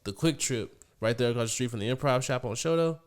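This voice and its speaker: A man's voice: medium pitch, fast talker, smooth. A man in his thirties, a podcast guest, telling stories in a laid-back, slangy way. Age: 30s. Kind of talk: casual chat.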